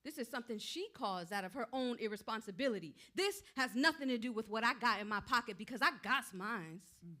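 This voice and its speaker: A woman's voice: fairly high pitch, expressive, a little fast, hardly any pauses, bright, forceful tone. A woman playing a hurt, proud, angry young woman in a staged play reading, colloquial and confrontational.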